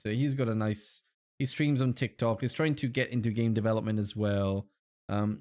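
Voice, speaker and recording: Low-voiced Italian man chatting on stream. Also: darkish tone. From Italy. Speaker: game streamer. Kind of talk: casual livestream chat.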